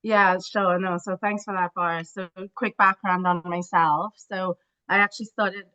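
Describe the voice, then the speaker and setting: A woman's voice: on the low side, fairly even intonation, moderate pace, bright, forceful tone, clear, resonant tone. A woman from Trinidad explaining her field as an expert guest in an interview. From Trinidad, Caribbean. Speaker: guest, corporate treasury and risk expert. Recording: dull, band-limited call audio.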